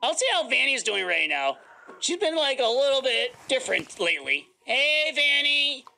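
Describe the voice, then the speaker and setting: Casting: woman as flustered, bossy robot fox, high bright swooping voice, game roleplay. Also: big pitch swings, moderate pace, creaky, full character voice, poor audio. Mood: resigned. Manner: flustered, dramatic, stammering denials. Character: excitable, bossy animatronic fox character. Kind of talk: improvised Minecraft roleplay.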